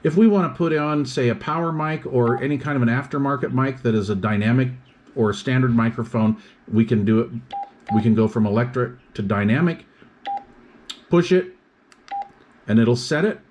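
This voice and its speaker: Male product reviewer, mid-pitched, methodical explainer walking through features in short, itemized phrases. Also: normal speed, pauses often, darkish tone, slight background noise. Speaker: product reviewer demonstrating radio gear.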